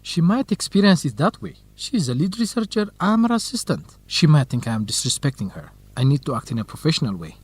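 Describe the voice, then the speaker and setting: An actor playing an earnest, cautious, lovestruck young research assistant in an educational radio drama. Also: male voice, on the high side, sing-song, brisk, few pauses, breathy edge, no room sound, exaggerated voice. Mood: earnest, principled. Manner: earnest, anxious, principled.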